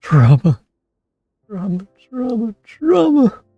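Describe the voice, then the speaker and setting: Creaky, mellow game streamer, jokey. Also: medium pitch, very animated, slow, no echo, highs cut off, faint music behind. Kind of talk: casual live gameplay commentary with read-aloud.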